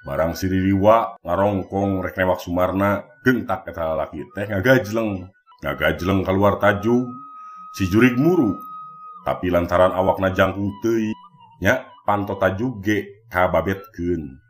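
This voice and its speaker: A man's voice: sits low, measured pace. A man with a Sundanese accent, narrating a scripted mystery folktale as a storyteller. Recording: music bed behind the voice. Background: background music.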